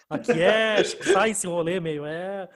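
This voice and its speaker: High-voiced, laid-back Brazilian host. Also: male voice. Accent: Brazilian Portuguese. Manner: laid-back, slangy, laughs easily. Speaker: podcast host. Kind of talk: casual podcast chat.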